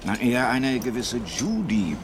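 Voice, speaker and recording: A man playing a friendly, gently teasing train companion in a radio drama, with an amused manner. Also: mid-range voice, very animated, unhurried. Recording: clattering dining car noise.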